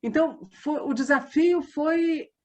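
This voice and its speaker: A woman's voice: sits high, slow, a little mellow. A Brazilian woman answering interview questions in a conversational way, telling things reflectively and in detail. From Minas Gerais, Brazil. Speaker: interview guest, radio drama writer and set designer.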